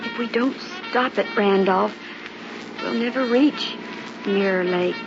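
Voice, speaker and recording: A woman's voice: medium pitch, slow pace. A woman playing a jumpy, anxious newlywed wife in an old-time radio drama, hesitant and pleading. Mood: worried. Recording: muffled vintage radio recording with music.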